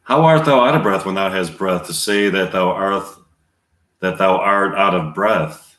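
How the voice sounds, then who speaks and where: A man reading the part of an impatient, lovesick young girl in verse, earnest and a little halting. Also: sits low, fairly bright tone. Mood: pleading. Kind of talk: amateur Shakespeare reading. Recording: echoey room.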